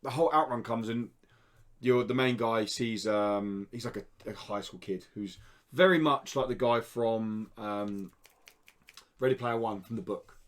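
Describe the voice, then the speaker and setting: A man's voice: mid-range voice, measured pace. A man co-hosting a casual gaming podcast, talking in an enthusiastic, rambling, informal way. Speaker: podcast co-host.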